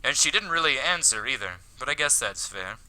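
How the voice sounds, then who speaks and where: A man voicing an awkward, lovestruck high school boy, wry and self-deprecating, in a visual novel. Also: lively intonation, brisk, sharp tone, a little hiss or hum, rough recording. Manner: wry, flustered. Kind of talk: visual novel character read aloud.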